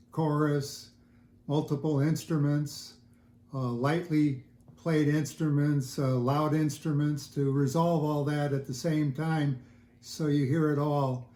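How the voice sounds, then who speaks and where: An older man chatting casually in an interview as a hobbyist, with a halting, hesitant delivery. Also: a little high, dark tone. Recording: roomy echo, faint music.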